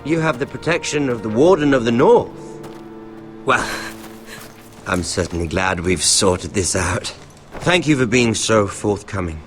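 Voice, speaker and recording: A low, unhurried voice of a sadistic young lord in a fantasy game. He is mock-polite and taunting, swinging theatrically in pitch over music. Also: expressive. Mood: feigned disappointment. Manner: taunting, theatrical, drawn-out words with sudden swings. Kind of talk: dramatic fantasy video game acting. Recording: music behind the voice.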